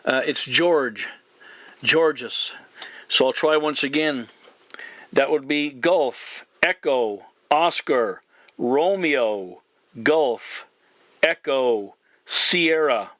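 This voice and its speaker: Male radio operator, measured and polite, bright edge to the voice, very dry recording, methodical over-and-out delivery. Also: medium pitch, dry recording. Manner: courteous, deliberate, formal radio procedure. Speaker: CB radio operator and video channel host. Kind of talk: CB radio exchange with spelling alphabet.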